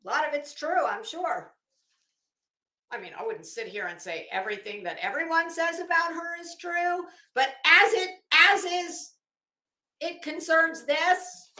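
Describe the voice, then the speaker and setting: High, bright-voiced brash woman in echoey room. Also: animated, a little slow. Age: middle-aged. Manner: brash, sweary, opinionated; dramatic, emphatic delivery. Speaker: livestream host. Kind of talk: casual livestream commentary.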